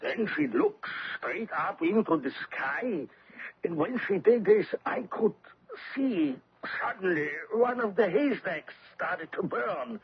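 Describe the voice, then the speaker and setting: A man's voice: sits high, animated, slow, slight background noise, full character voice. An actor playing an anxious, deferential German soldier and jailer in a radio drama, hesitant and pleading, stammering over his words. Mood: earnest, anxious. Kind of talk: 1970s radio drama acting. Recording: muffled old radio recording with music.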